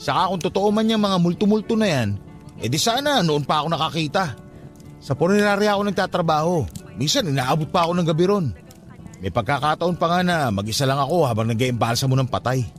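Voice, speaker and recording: A man's voice: a little high, expressive, fast talker, soft background music, lightly acted. An actor playing a brash young man who teases and mocks his cousin in a radio drama. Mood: boastful. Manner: teasing, brash, mocking. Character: cocky, skeptical young funeral-parlor worker teasing his cousin. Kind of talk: radio drama dialogue, casual banter.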